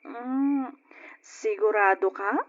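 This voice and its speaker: An actress playing a playful, doubting young woman in an old Ilocano radio drama, very expressive. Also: fairly high pitch, very slow. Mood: doubtful, worried. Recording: tinny band-limited old radio recording.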